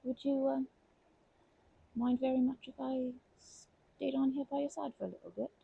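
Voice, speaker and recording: A woman playing a kindly, protective grandma in an ASMR comfort roleplay, speaking gently and hesitantly with fond pet names. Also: sits high, fairly even intonation, normal speed, narrow, tinny audio, a little hiss or hum. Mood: soothing reassurance. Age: older. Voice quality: creaky, husky.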